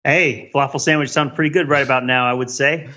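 Bright, animated man on lo-fi audio. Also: mid-range voice, fast. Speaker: podcast regular. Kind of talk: casual chat.

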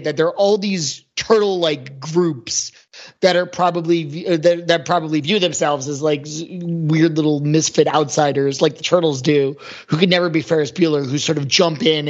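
A man's voice: a little high, fairly even intonation, moderate pace. A man on a discussion panel talking casually about film, thinking aloud and rephrasing as he goes. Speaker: podcast panelist. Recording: dull, lo-fi voice track.

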